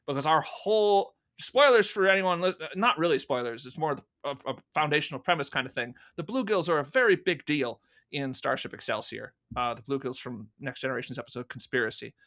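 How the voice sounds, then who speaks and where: A man's voice: on the high side, brisk, hardly any pauses, fairly bright tone. A man speaking as a guest in a casual podcast conversation, telling things animatedly and acting out imagined quotes. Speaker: interview guest, audio drama producer.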